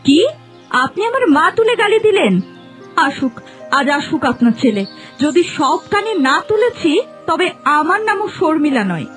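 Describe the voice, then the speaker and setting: High-pitched nagging young daughter-in-law, acted by a woman. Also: sing-song, moderate pace, faint music behind. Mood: indignant. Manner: sharp, nagging, sarcastic scolding. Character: cruel, bossy young daughter-in-law, scolding and sarcastic. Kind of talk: Bengali cartoon folk-tale voice acting.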